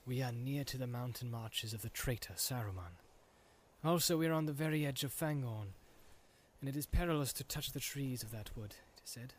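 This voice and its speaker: A steady, solemn ranger leader, voiced by a man reading aloud, measured and serious in every line. The voice stays close to the reader's own, level and unhurried. It is a storybook hero spoken with quiet authority. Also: mid-range voice, a little hiss or hum, natural voice. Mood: warning.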